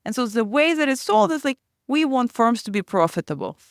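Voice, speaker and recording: A woman's voice: sits high, sing-song, fairly quick, smooth, dry recording, clean studio sound. A woman finance professor co-hosting a casual academic discussion, explaining with everyday comparisons.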